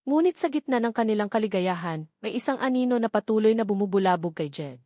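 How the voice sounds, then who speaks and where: A woman's voice: mid-range voice, animated, fast, dark tone, no room sound. Filipino woman narrating, dry close sound. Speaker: story narrator. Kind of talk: scripted dramatic story narration.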